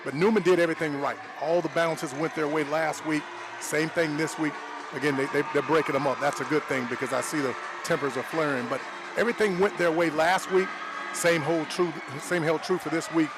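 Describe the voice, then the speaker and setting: A man's voice: on the high side, steady tone, brisk, background noise. A man giving conversational football analysis as a color commentator, plain-spoken and folksy, repeating his points.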